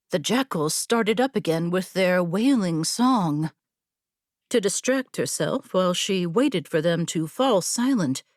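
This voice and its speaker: A woman narrating fantasy fiction from a script in a measured, deliberate storytelling manner. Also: medium pitch, a little mellow, dry recording, studio quality. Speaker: audiobook narrator.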